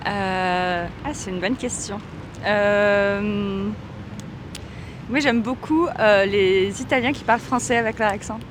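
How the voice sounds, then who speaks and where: A woman's voice: mid-range voice, steady tone, slow pace, noisy background. A woman answering a street interviewer thoughtfully.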